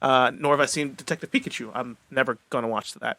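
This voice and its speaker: A man in casual podcast chat, blunt and sweary, with hesitant, halting phrasing. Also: medium pitch, fairly even intonation, gravelly, bright, forceful tone, no room sound. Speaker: podcast panelist.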